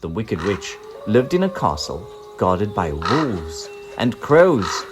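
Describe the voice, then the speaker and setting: A man narrating a children's storybook in an expressive, dramatic way. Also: fairly low pitch, takes it slowly, over music. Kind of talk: children's storybook read-aloud.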